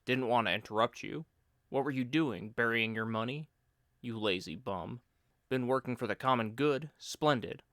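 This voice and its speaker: A man voicing a lazy, joking friend who teases and mock-lectures in a loud, raised voice. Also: mid-range voice, moderate pace, no echo, clean studio sound, close to an everyday voice. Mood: teasing.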